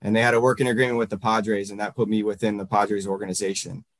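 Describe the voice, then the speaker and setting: A man in his thirties from Northern Virginia, a baseball coach answering interview questions in a halting way, full of fillers and restarts. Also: fairly low pitch, flat delivery, no echo.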